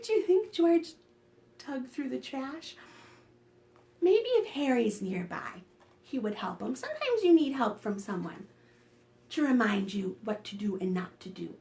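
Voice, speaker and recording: A woman hosting a preschool storytime, reading aloud expressively and coaxing young listeners with questions. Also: fairly high pitch. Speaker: children's librarian, storytime host. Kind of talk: animated read-aloud for preschoolers.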